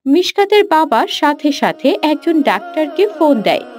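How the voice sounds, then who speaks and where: A woman's voice: high voice, very animated, normal speed, a little mellow, faint music behind. A woman reading scripted narration for a children's cartoon story.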